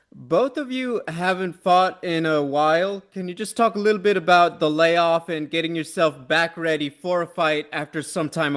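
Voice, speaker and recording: Male reporter on a press call, slightly high voice, posing questions. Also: a bit bright. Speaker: journalist.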